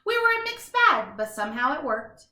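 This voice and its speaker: A wistful, self-deprecating little bean misfit played by a woman reading aloud tells a storybook tale. The voice is high and bright, with expressive exclamations, in an echoey room. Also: normal speed, exaggerated voice.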